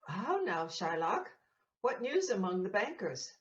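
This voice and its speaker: A woman playing a gossiping, needling Venetian townsperson in a Shakespearean audio play, with measured, halting delivery. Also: medium pitch, sing-song. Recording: muffled, echoey room recording.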